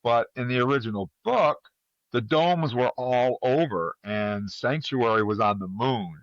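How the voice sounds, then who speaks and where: A man's voice: mid-range voice, measured pace, no echo. A man hosting a casual trivia chat, talking conversationally to his panel over a slightly dull microphone. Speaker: podcast host running a trivia quiz.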